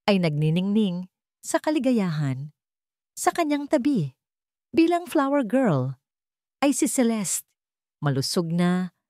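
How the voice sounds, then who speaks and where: A woman narrating a romance story in an expressive, dramatic storytelling manner, recorded on a close mic. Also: fairly low pitch, no room sound.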